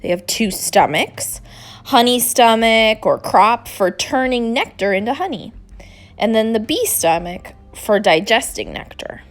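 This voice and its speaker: Lady reading a kids book out loud, high voice, really lively, bit of music behind. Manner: deliberate, animated, acting out dialogue. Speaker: storybook reader. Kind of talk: expressive children's read-aloud narration.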